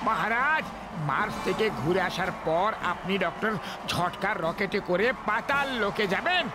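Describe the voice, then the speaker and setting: Very high-pitched cartoon herald. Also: male voice, expressive, moderate pace. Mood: teasing, commanding. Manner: declamatory, calling out proclamations. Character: loud, mischievous royal herald in a comedy cartoon. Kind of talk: cartoon dub acting. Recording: loud background music.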